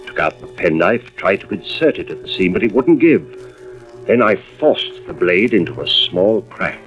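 A man's voice: low-pitched, animated, normal speed. An American actor playing an intent, hard-boiled male arson investigator in an old radio drama, with terse delivery. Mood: tense, suspenseful. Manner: terse, suspenseful first-person narration. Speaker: lead actor and first-person narrator. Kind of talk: 1940s-50s radio drama acting. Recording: dull old radio recording, music behind.

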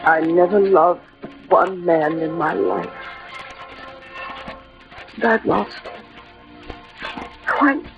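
An actress playing a tender, grieving noblewoman in melodramatic 1940s radio style. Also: lowish voice. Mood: anguished. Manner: anguished, slow, melodramatic. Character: grieving, tender noblewoman who loves the dying hero. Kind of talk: 1940s theatrical radio acting. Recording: muffled vintage radio recording, music behind.

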